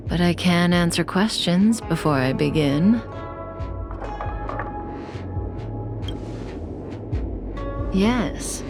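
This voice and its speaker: Mysterious female manipulator, lowish voice, very unhurried, composed, teasing, video game dialogue, music underneath. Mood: composed, explanatory. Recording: music bed behind the voice.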